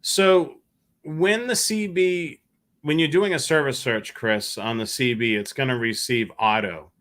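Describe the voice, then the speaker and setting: A man's voice: mid-range voice, measured pace, fairly bright tone. A man hosting a live show solo, talking casually and a bit hesitantly as he chats to viewers and takes their questions. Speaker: host of a scanner radio live show.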